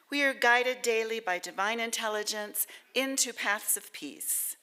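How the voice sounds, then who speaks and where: A woman reading inspiration and leading spoken prayer at a church service, reverent and deliberate, with long pauses. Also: mid-range voice, pushed and bright.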